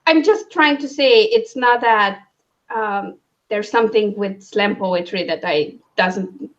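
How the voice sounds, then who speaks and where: A woman hosting a casual livestream book discussion, thinking aloud in halting, broken phrases. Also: medium pitch. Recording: dull, lo-fi sound.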